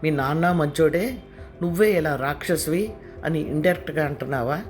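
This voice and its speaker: A man's voice: fairly high pitch, moderate pace, faint music behind, close to an everyday voice. A man voicing an angry young wife in an audio story, scolding and demanding in a raised voice. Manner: scolding, heated.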